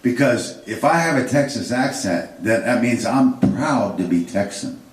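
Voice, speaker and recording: A man's voice: medium pitch, expressive, a little slow. A man giving an unscripted history lecture, rambling with fillers and restarts. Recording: echoey room, distant mic.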